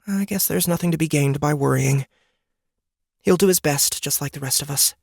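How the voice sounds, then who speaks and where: A woman's voice: low voice, normal speed, creaky, slightly rough, no room sound, clean studio sound, heavily acted. An actress playing a sly, upbeat wife and mother in a fantasy audiobook, with a playful delivery. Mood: concerned. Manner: playful, animated. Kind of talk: dramatic audiobook character dialogue.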